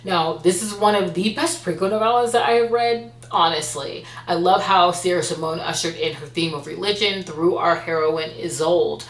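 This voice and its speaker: A woman's voice: on the low side, normal speed, hardly any pauses, roomy sound, poor audio. A woman chatting expressively as a book reviewer, gushing and emphatic as she recaps what she has read. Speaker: book reviewer and host of a reading channel. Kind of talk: chatty monthly reading wrap-up to camera.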